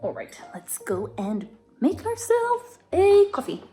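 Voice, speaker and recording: A woman's voice: very high-pitched, huge pitch swings, slow pace. Chatty woman in an echoey room. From Finland. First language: Swedish. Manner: chatty, many fillers and soft restarts. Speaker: Instagram creator and vlogger. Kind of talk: casual Q&A vlog. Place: home apartment, indoors. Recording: roomy sound, distant mic, music behind.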